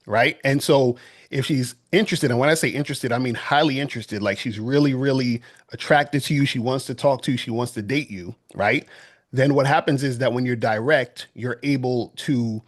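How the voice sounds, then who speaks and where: A man lays out his argument in an online debate at a mid pitch. He explains step by step and keeps checking that his listener follows him. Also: normal speed. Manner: explanatory, keeps checking "you see what I'm saying". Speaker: dating coach.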